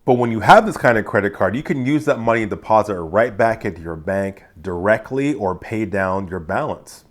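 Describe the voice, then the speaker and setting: A man's voice: on the low side, expressive, brisk. A man hosting a personal finance channel, talking casually to camera, sounding nasal and congested from allergies, chatty and encouraging. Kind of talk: casual direct-to-camera talk.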